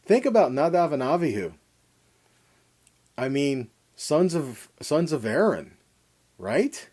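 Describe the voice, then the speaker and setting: Male Bible teacher, mid-pitched, lively intonation, soft-edged tone, emphatic scripture reading, rhetorical questions, sermon delivery. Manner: emphatic, dramatic stresses, rhetorical questions to listeners. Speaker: Bible teacher giving a weekly Torah portion lesson.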